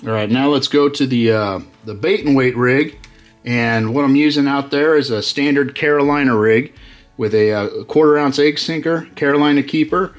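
A man's voice: medium pitch, normal speed, faint music behind. A middle-aged man hosting a fishing channel, casually walking through how he rigs baits, with lots of fillers and restarts. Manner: relaxed, many fillers and restarts. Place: workshop with tackle-covered walls.

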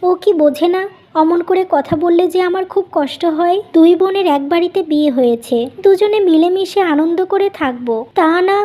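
A kind elder sister from a family cartoon, played by a woman. She speaks in a high voice, gentle and patient, with a solicitous manner. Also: a little fast. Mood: worried, caring.

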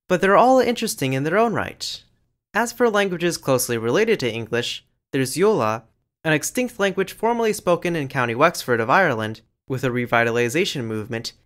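A man giving scripted educational narration over slides, in a lively, explanatory way. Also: fairly high pitch. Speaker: language channel narrator.

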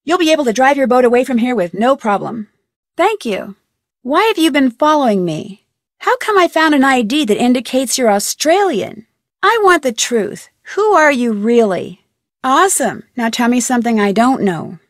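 A woman's voice: sits high, big pitch swings, moderate pace, no echo, studio quality. A woman playing an inquisitive, persistent young amateur detective in a video game, speaking in a polite, earnest way. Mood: pressing, suspicious. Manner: polite, earnest, crisply questioning. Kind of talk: video game dialogue voice acting.

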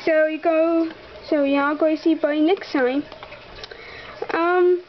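A young girl giving a casual, halting tour of her toy horse collection, with many ums, talking straight to viewers. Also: sits high, fairly even intonation, muffled recording, slight background noise. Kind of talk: casual barn tour narration. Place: bedroom. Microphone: handheld camera close to the floor.

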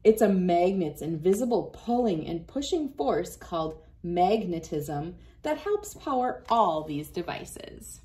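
A woman's voice: lowish voice, big pitch swings, measured pace, hardly any pauses, dark tone. A woman in her thirties reading a science book aloud and demonstrating for children, encouraging and speaking directly to young viewers. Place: small wood-panelled room indoors, by a window. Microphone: device camera at about arm's length.

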